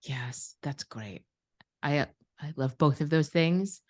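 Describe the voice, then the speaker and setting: A woman's voice: low-pitched, lively intonation, slow, a little mellow. A woman co-hosting a podcast, talking casually and reflectively with her friend. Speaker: podcast co-host.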